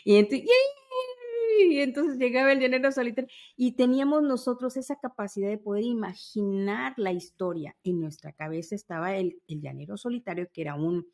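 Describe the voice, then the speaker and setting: A woman's voice: mid-range voice, fairly quick, a little mellow. A woman in her fifties hosting a podcast, chatting casually and nostalgically in an enthusiastic storytelling way. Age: 50s. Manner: enthusiastic, storytelling with spoken sound imitations. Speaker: podcast host.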